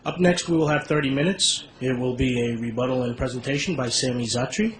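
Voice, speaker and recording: A male moderator formally announces the next speaker, standing back from the microphone. The hall echoes strongly, and background noise comes through clearly. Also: mid-range voice, a little fast. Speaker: debate moderator. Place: live debate hall.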